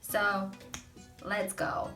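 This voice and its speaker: A woman's voice: medium pitch, completely flat delivery, extremely slow, pushed and bright, echoey room, recorded from a distance, faint music behind. A young woman with a Russian accent, hosting a casual language lesson, explaining chattily with many fillers. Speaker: host.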